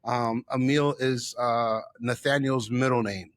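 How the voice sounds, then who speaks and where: A man's voice: medium pitch, steady tone, unhurried. A middle-aged man from the Bronx, New York, telling personal stories as an interview guest, emphatically repeating phrases for effect. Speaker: interview guest, chef and theater owner.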